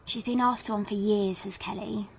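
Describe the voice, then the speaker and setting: A woman with a British accent playing an eighteen-year-old girl questioned by police in a radio drama, her voice husky and creaky. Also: medium pitch, steady tone, moderate pace. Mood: gossipy. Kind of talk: naturalistic radio drama dialogue.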